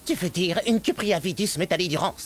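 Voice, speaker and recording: A woman voicing a knowledgeable, gently skeptical young microbe in a French cartoon dub, explaining and teasing. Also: on the low side, fairly quick, a little hiss or hum, natural voice. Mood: explanatory. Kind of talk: animated cartoon dubbing. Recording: loud music behind the voice.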